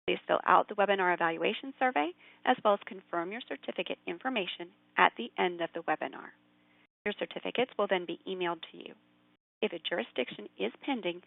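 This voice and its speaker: A woman moderating a webinar, reading housekeeping announcements in an even, scripted way. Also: medium pitch, gravelly, no echo. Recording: tinny phone-line audio.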